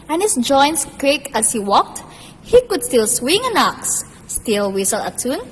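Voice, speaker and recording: A woman narrating a fairy tale as a storyteller in a scripted radio play. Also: on the high side. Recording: long reverb, faint noise.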